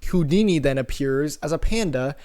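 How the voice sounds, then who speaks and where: Young male YouTube commentator, slightly high voice, casual and irreverent comedic recapping. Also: animated, normal speed, background noise. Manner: irreverent, sarcastic, acts out characters' lines.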